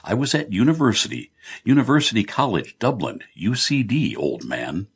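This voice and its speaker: Casting: male narrator as a blunt, good-humoured Irish tycoon in middle age for an audiobook; low, lively, teasing delivery. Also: moderate pace, slightly rough, full character voice. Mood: teasing, confiding.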